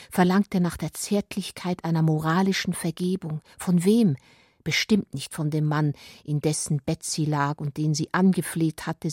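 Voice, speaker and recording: A woman with a breathy voice reading scripted literary narration intimately for a radio play. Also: fairly low pitch, dark tone, no echo, studio quality.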